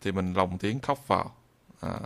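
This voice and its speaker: A man giving an unscripted software tutorial in a hesitant, halting way, often repeating phrases. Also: low-pitched. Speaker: video tutorial presenter.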